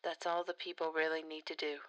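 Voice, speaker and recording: A woman playing a principled, defiant politician in an audio drama, speaking in a measured, earnest way. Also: low-pitched, level tone, muffled recording, natural voice. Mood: grave, righteous.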